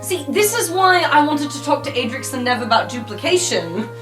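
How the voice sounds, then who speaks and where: Woman player doing casual improv roleplay, highish voice, echoey, music under it. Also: very animated, moderate pace. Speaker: tabletop roleplay podcast player. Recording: echoey room, music behind.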